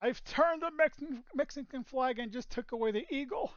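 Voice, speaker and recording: A man's voice: extremely high pitch, brisk, dry recording, lo-fi sound. A man hosting a casual podcast over a call, joking around and restarting his sentences. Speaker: podcast host. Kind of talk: casual chat. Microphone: own mic on voice call.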